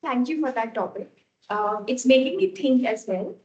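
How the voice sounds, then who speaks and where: A woman with a soft voice giving an impromptu speech, slow and hesitant with many fillers. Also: high voice, lively intonation, echoey room, lo-fi sound. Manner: slow, hesitant, laughs often.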